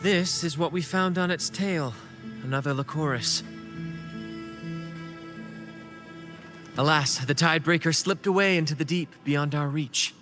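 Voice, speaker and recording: An actor playing a well-spoken young man who leads a travelling troupe in a video game, speaking in a measured, theatrical, articulate way. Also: a little high, lightly acted. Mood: explaining. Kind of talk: scripted video game story dialogue. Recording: game music behind the voice. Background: music.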